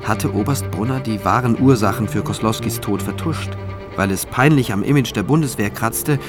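A man's voice: on the low side, moderate pace, close to an everyday voice. An actor playing a resolute, introspective prosecutor, narrating his investigation in the first person for a radio drama. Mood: reflective, suspicious. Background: music.

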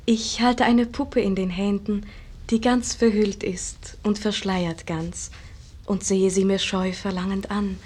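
An actress playing a shy, dreamy young painter's model in a 1950s radio verse drama, speaking lyrical stage German. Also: female voice, mid-range voice, fairly even intonation, slow pace, darkish tone, husky. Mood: dreamy awe. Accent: standard stage German.

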